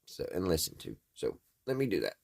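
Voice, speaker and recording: A man's voice: sits low, wildly swooping pitch, brisk, vocal fry, darkish tone, no echo, clean studio sound. An American man talking casually and folksily, thinking aloud as he hosts a hands-on repair vlog. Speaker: host of a radio repair video.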